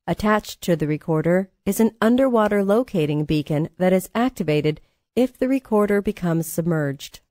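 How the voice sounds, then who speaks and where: A woman reading scripted training narration in a measured, formal manner, as a course narrator. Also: on the low side, animated, dark tone, no echo, studio quality.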